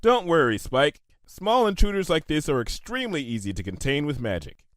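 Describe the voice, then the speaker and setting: A man's voice: on the high side, sing-song, a little fast, no echo, clean studio sound, pitched above the performer's own voice. A man voicing a studious, confident female unicorn scientist who talks in a clinical, dictating manner in a storybook reading. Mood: reassuring. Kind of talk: fan fiction storybook character voice.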